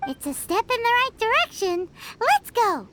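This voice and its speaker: A woman's voice: very high-pitched, sing-song, normal speed, heavy background noise. A woman voicing a tiny, cheeky female companion in a game, bouncy and teasing.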